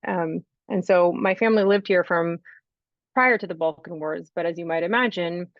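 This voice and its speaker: Woman researcher, low-ish voice, articulate and earnest, dry muffled call sound. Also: moderate pace, dry recording. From United States. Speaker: researcher, panel speaker. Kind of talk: panel answer.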